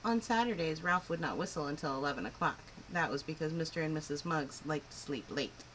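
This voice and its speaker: A woman reading a picture book aloud to children in an expressive, clearly phrased storytelling style. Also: low voice, brisk, a little hiss or hum, low-quality recording. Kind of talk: animated children's story narration.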